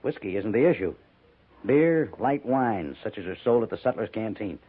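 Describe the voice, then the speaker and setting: A resigned American major in a 1950s radio western. His lowish, husky voice is measured and a bit defensive, heard through a thin old broadcast recording. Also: expressive. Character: weary, resigned army major, post commander. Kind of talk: 1950s radio drama acting. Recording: tinny, narrow-band old radio recording.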